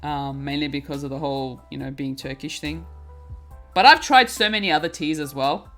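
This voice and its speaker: Young woman, low voice, easygoing chatty streamer who laughs a lot and trips over her words; faint music underneath. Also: moderate pace, fairly bright tone. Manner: chatty, giggly, repeats and restarts words. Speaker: craft streamer. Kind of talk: casual chat while crafting, reading chat.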